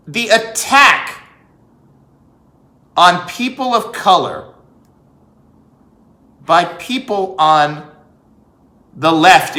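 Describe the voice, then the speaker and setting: Emphatic high-pitched man in echoey room. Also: pushed and bright. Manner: emphatic, repeats phrases for effect, rhetorical questions. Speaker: commentator. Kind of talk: opinionated political monologue.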